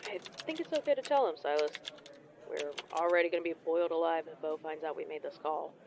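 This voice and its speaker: An actor playing a wry biologist who teases colleagues dryly, in an audio drama. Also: male voice, fairly high pitch, quick pace, creaky, a little mellow. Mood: conspiratorial. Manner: dry, teasing.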